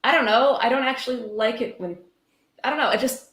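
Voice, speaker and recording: A woman's voice: a little high, fairly quick, a bit bright. A woman hosting a live-streamed reading, commenting casually in a wry, sardonic way with some swearing. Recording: roomy, echoey sound.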